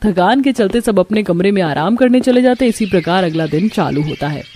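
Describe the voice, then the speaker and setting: A woman's voice: medium pitch, expressive, fairly quick, mellow, slight background noise. A woman reading scripted narration as the storyteller of a cartoon tale.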